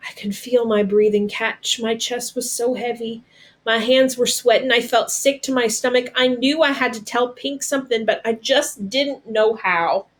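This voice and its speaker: A woman narrating a storybook aloud as a storyteller, with dramatic, deliberate phrasing. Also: on the high side, fairly even intonation.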